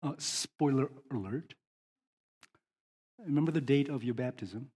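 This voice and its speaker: A man acting as pastor, interviewing a congregant on stage in a lively, teasing way, often restarting his questions. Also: medium pitch, slightly rough. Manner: animated, teasing, halting restarts.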